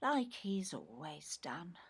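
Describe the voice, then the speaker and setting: An actress playing a hurt, resentful grown daughter in an audio play, with an English accent and an emotional, pleading manner. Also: female voice, on the low side, big pitch swings, takes it slowly, slightly rough, full character voice. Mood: bitter, resentful. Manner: emotional, pleading, bitter emphasis. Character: hurt, resentful grown daughter, long overlooked little sister.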